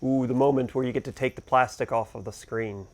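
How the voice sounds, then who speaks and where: A man's voice: mid-range voice, steady tone, brisk, darkish tone. A man hosting a boating gear review, talking casually and off the cuff as he thinks aloud. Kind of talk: casual unboxing and review talk, unscripted.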